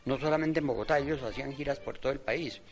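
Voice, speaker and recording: An older man giving reflective interview commentary in halting, measured phrases. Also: medium pitch, soft background music. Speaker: interviewee commenting on theatre history.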